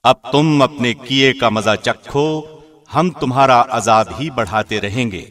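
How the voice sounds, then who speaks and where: Mid-pitched man reading scripture formally. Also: a bit bright. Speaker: translation reader, radio host.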